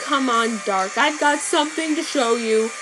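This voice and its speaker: A woman voicing a hot-headed teenage boy who gets indignant and shouty. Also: sits high, a little slow, background noise, voice pushed up. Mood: friendly.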